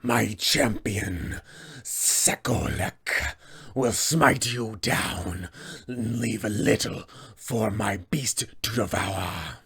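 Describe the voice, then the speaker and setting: A man voicing a cold, menacing serpent-man warlord, a fallen paladin, hushed and deliberate, for a fantasy tabletop game. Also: on the low side, expressive, creaky, husky, full character voice. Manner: slow, deliberate, imperious.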